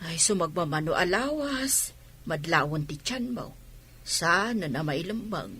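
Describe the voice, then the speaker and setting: A woman's voice: on the low side, animated, unhurried, pushed and bright, a little hiss or hum, exaggerated voice. A woman with a Filipino accent playing a nosy, doting middle-aged village mother who teases and exclaims in a radio comedy drama. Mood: teasing, scolding.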